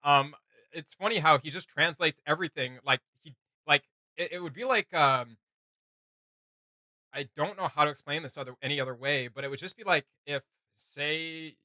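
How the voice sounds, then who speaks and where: Male reaction host, slightly high and very bright voice, enthusiastic, rambling casual delivery. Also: normal speed, no echo. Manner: enthusiastic, rambling, thinking aloud.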